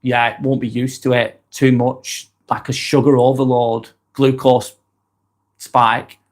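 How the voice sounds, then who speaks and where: A man's voice: medium pitch, little pitch movement, slow pace. A man from East Lancashire with a Lancashire accent, chatting casually on a livestream vlog and reading out viewer comments. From East Lancashire, England. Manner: chatty and rambling, with frequent ums and restarts. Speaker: livestream vlogger.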